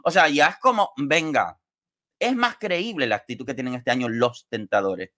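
Guy in his 30s, mid pitched voice, wordy gossipy chat about reality tv on a call. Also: animated, moderate pace, sharp tone. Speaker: online show co-host and commentator. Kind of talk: casual reality-show commentary. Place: small home room, on a video call.

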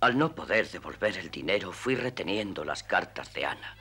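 A man's voice: low-pitched, lively intonation, normal speed, bright, forceful tone, slightly rough, exaggerated voice. An actor in a Spanish cartoon dub playing a guilt-ridden middle-aged drinker who hides his real name, pleading and desperate. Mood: remorseful.

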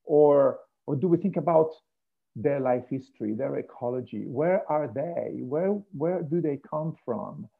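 A man's voice: fairly high pitch, a little slow. A middle-aged man from Rome with an Italian accent, giving an online biology lecture from home, hesitant, with many fillers and restarts. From Italy, Rome. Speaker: marine biology professor. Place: home room with a piano. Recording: gravelly, tinny video call audio.

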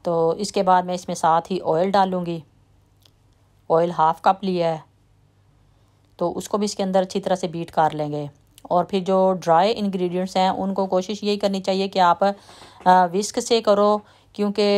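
Woman, lowish voice, relaxed homey baking narration, soft trailing endings. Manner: relaxed, chatty, trails off softly at sentence ends. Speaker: home cooking vlogger. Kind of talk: casual step-by-step baking talk.

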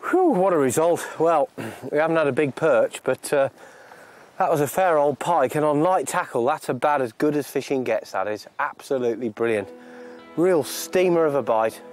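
A man's voice: a little high, moderate pace, soft background music. Enthusiastic English man fishing from a boat. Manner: enthusiastic, chatty. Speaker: fishing show host. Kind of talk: outdoor fishing chat and piece to camera. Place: small boat on a river outdoors.

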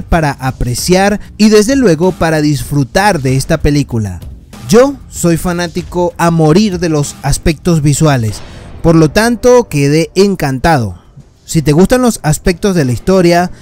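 A man's voice: fairly high pitch, a little mellow. A man hosting his channel, giving a casual spoken film review as a voice-over. He talks earnestly and addresses his viewers as friends.

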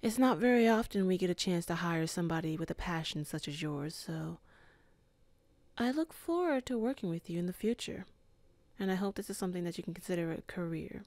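Cool female boss, woman voicing her, lowish voice, calm and measured, dry close mic. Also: no room sound. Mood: teasing. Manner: calm, measured, softly flirtatious. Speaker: ASMR roleplay performer. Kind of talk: ASMR roleplay.

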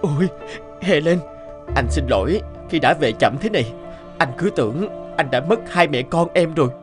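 A man's voice: a little high, expressive, moderate pace, natural voice. A man playing an earnest, anxious young husband and father in a cartoon drama, speaking with emotion. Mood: relieved, apologetic. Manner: emotional, urgent, pleading. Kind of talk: dubbed cartoon drama acting. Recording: music behind the voice.

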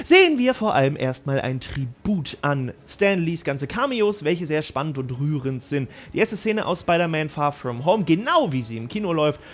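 Man, YouTube host, higher-pitched, lively swooping intonation, enthusiastic scripted commentary. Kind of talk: energetic scripted video commentary.

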